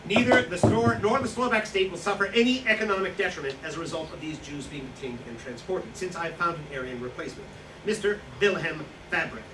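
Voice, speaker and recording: A man playing a self-pitying, self-justifying wartime profiteer in a staged reading, halting and indignant, with nervous chuckles. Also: on the high side, far from the mic, close to an everyday voice. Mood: relieved, uneasy. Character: self-pitying, self-justifying Nazi-era profiteer who seized a bookstore. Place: live staged reading in a room. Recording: echoey, noisy hall recorded from afar.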